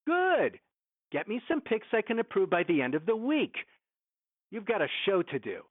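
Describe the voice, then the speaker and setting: An actor playing a domineering, evasive film studio executive in an audio drama, condescending and clipped, heard over a thin phone line. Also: male voice, sits high, huge pitch swings, moderate pace, full character voice. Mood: stern, commanding. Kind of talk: modern audio drama acting.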